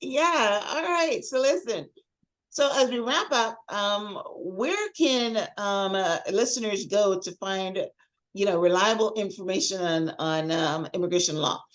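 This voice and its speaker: A woman's voice: mid-range voice, unhurried. Female podcast host, mid-range voice, conversational and affirming, unscripted interview delivery.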